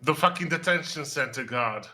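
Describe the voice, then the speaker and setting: A man with a very bright, forceful voice, a little high in pitch, chatting casually during a game stream. He speaks excitably, stopping and starting, with some swearing. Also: normal speed, soft background music. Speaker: voice cast member on a gaming stream. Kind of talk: casual gaming chat.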